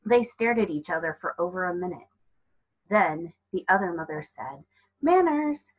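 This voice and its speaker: A woman reads a children's novel aloud in a lowish voice with a slightly husky grain. She narrates as a storyteller, and the recording sounds a bit dull. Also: moderate pace. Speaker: storybook reader.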